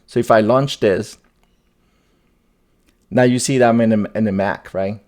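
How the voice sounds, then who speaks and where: A man with a lowish voice hosts an informal tech walkthrough. He explains things step by step as if thinking aloud, sometimes repeating a phrase before moving on. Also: fairly even intonation, a little slow, a little mellow. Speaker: tech video host. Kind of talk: casual tutorial screen demo.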